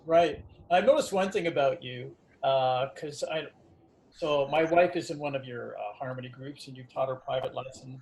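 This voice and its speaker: A man who teaches karate, hosting a casual video-call interview in a relaxed, chatty way with lots of fillers. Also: mid-range voice, normal speed, slight background noise, poor audio.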